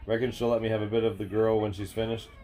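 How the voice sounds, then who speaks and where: A man puts on a hungry werewolf villain from a storybook. He croons with gloating menace in a dark, mellow voice. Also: lowish voice, level tone, quick pace, lightly acted.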